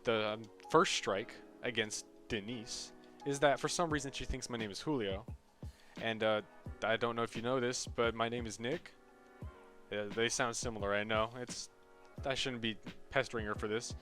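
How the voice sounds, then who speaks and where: A man's voice: mid-range voice, steady tone, moderate pace, fairly bright tone. Sarcastic young American man over music. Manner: sarcastic, profane. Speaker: video creator. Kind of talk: casual comedic rant commentary.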